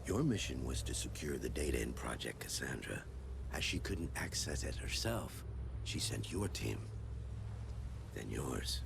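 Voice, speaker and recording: An actor playing an older cult leader in a video game, soothing yet sinister, murmuring grandly and paternally. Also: male voice, very deep voice, vocal fry, noisy background, exaggerated voice. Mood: calm, accusing. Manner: slow, grandiose, paternal, formal wording. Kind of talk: dramatic video game cutscene acting. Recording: loud eerie music behind.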